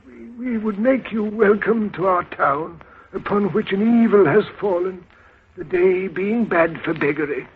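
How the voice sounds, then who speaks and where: A man's voice: sits high, measured pace, breathy edge, full character voice. A man playing a solemn male beggar who laments the city's troubles at length, in 1930s radio drama acting. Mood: lamenting. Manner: mournful, elaborate lamenting. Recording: tinny 1930s radio with faint hiss.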